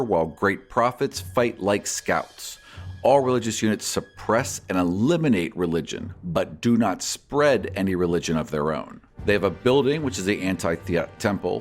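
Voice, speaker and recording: A man hosting a podcast, reading scripted fantasy lore and answers in a measured storyteller's manner. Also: fairly low pitch. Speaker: podcast host and game master. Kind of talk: scripted narration answering listener questions.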